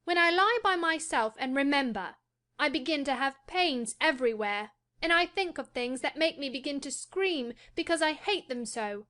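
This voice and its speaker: A woman's voice: high-pitched, animated, normal speed, pushed and bright. A woman voicing a fretful, lordly young invalid boy in an audiobook dramatic reading, haughty and commanding. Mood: petulant, imperious.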